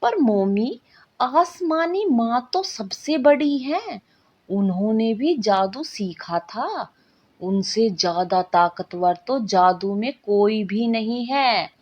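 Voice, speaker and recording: A woman's voice: fairly high pitch, lively intonation, takes it slowly, darkish tone. Woman voicing an impatient, pouting young girl. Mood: insistent. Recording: dull lo-fi recording.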